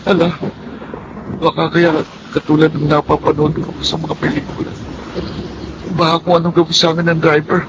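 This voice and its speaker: An actor playing a meek, mistreated teenage nephew with a Filipino accent in a radio drama, plaintive and polite. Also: male voice, on the high side, flat delivery, moderate pace, pauses often, background noise, natural voice. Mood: weary, anxious. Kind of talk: Filipino radio drama acting with inner monologue.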